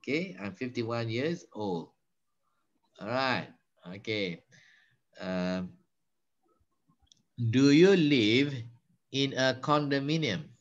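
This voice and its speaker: A man's voice: medium pitch, lively intonation, slow, long pauses. A Malaysian man in his fifties, a patient English teacher coaching a student on a video call, switching between Malay and English. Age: 50s. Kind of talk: online conversation lesson. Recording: slightly dull video call audio.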